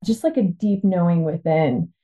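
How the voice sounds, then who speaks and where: A woman's voice: lowish voice, moderate pace. American woman guest, thin phone-like sound. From Washington State, United States. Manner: informal, excited. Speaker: podcast guest, life coach. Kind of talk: casual chat, telling personal story. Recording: thin phone-like call audio.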